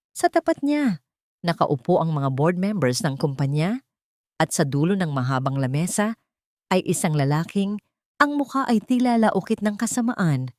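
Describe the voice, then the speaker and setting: A woman narrating a dramatic audio story in a measured, scripted way. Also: medium pitch, no room sound, studio quality.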